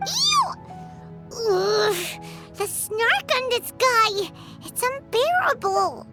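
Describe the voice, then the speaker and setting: Squeaky excitable fairy sidekick. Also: female voice, very slow, exaggerated voice. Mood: disgusted. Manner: squealing, exclamatory, talks about herself in third person. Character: tiny excitable female fairy companion, chatty and blunt. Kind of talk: video game voice acting. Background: loud game music.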